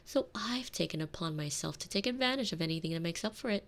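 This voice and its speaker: Casting: actress as retired supervillain housewife for ASMR audio drama; low register, very lively intonation, wry and teasing. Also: female voice, fast. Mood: wry, scheming. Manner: wry, teasing, theatrical phrasing. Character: sardonic, thrifty retired villainess turned doting housewife. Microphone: close binaural microphone.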